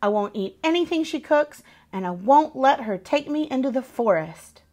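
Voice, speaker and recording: A woman's voice: high voice, lively intonation, moderate pace, dark tone, voice pushed up. A woman voicing a plaintive, stubborn young girl in a children's storybook. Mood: defiant. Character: young girl, imaginative, stubborn, longing for family.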